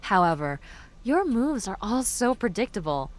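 A woman's voice: mid-range voice, big pitch swings, moderate pace, lightly acted. A woman playing a gloating, bitter villainess and crime boss in an audio drama, with a mocking, theatrical delivery. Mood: smug, taunting.

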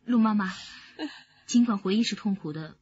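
A woman's voice: mid-range voice, normal speed, darkish tone, breathy edge, highs cut off, lightly acted. A woman acting in a radio drama as an earnest, probing police detective. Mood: gently questioning.

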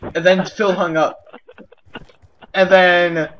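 A man improvising a comic story to friends over voice chat, halting and giggly, often repeating himself. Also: sits high, little pitch movement, muffled recording, crowd noise. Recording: noisy gaming voice chat with clicks.

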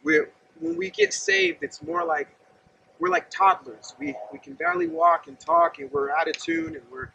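A man's voice: very high-pitched, very animated, moderate pace, gravelly, sharp tone, dull audio, slight background noise. A man in his thirties preaching earnestly and conversationally outdoors, standing and gesturing while he talks to a camera set some distance away. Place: outdoors by a gravel lot and fence. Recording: distant outdoor mic with faint ambience.

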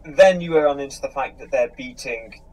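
A man's voice: mid-range voice, normal speed, a little mellow, low-quality recording. A man in casual chat, speaking hesitantly as he thinks aloud. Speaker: podcast host.

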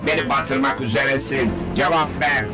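A man's voice: mid-range voice, moderate pace, loud music behind the voice, lightly acted. An actor playing a domineering, scheming male cartoon villain with a menacing, imperious manner.

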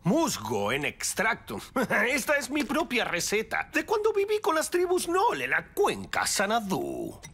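A man playing an eager, smooth-talking, obsessive expedition leader in a cartoon, with a Latin American accent. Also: extremely high pitch, wildly swooping pitch, measured pace, fairly bright tone, heavily acted. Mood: earnest.

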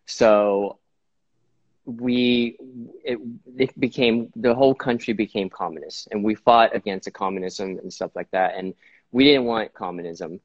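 A man in his forties from Vietnam, a hairdresser being interviewed on a live video call, talking casually and haltingly, often repeating words. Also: lowish voice. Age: 40s. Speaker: guest hairdresser. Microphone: video call on phone. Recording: dull lo-fi call audio.

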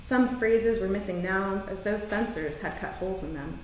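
A woman in her thirties, a poet presenting and reading her literary work to a live event in a measured, deliberate way. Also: mid-range voice, a little mellow. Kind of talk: poetry and prose reading with short spoken introductions. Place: theater stage, indoors. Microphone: distant camera microphone. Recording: very echoey theater, distant, faint noise.

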